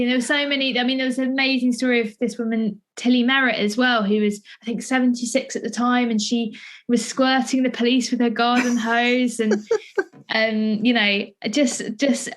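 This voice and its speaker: A woman chatting as an interviewer in a tentative, heavily hedged way. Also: a little high. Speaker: interviewer, theatre maker. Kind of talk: casual interview conversation.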